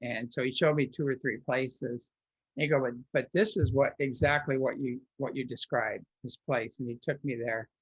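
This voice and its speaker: A man interviewed as a guest, telling personal stories in a rambling way, restarting often and filling pauses. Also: medium pitch, fairly even intonation, breathy edge, no room sound, highs cut off. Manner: rambling, with frequent restarts and filler words.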